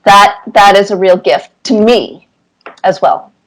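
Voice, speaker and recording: A middle-aged woman answering interview questions conversationally, with thoughtful, deliberate phrasing. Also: medium pitch, low-quality recording. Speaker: interview guest, cartoonist.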